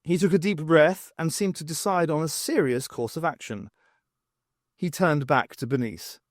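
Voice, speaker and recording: A man's voice: on the high side, normal speed, no room sound, studio quality. A man reading a novel aloud as an audiobook narrator, in scripted storytelling style.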